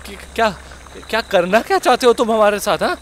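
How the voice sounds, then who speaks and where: Man acting a high, panicky comic captive. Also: sing-song, fairly quick, a bit bright. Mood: pleading. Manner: stammering, whining, swearing. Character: panicky foul-mouthed comic male captive, cowardly sidekick. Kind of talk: Hindi horror-comedy audio drama acting.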